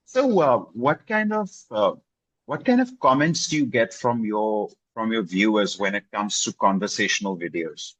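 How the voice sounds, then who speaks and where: Husky-voiced man, encouraging tutor. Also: lowish voice, expressive, normal speed, fairly bright tone, dry recording, rough recording. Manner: encouraging, repeats himself for emphasis with "okay?" and "right?". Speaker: English tutor. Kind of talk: casual video-call conversation.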